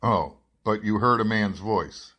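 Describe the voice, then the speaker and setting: A probing, skeptical newspaper reporter played by a man in a radio drama. The voice is a little low, with a dry, wry delivery for dialogue and narration. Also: unhurried, pushed and bright. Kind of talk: radio drama acting and first-person narration.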